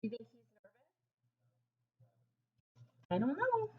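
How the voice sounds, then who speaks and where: A woman's voice: high voice, very animated, slow, phone-quality sound. A woman reading a picture book aloud to young children as their teacher, expressively explaining and asking them questions. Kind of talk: animated storybook read-aloud with questions to listeners.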